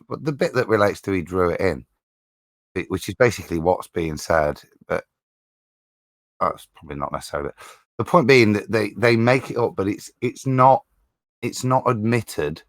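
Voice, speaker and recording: A man speaks as a panelist in casual discussion. His voice is on the low side with a very dry sound, and he circles haltingly around his points with indignation. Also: fairly bright tone, dry recording. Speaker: panelist on a live show.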